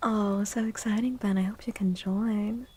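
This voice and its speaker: A woman speaking softly and gently in a breathy, soothing ASMR style, often slipping into a whisper as she chats with stream viewers. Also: medium pitch, steady tone, moderate pace, a little hiss or hum. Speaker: livestreamer hosting an ASMR stream. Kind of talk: soft-spoken ASMR, chatting with viewers. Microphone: close microphone.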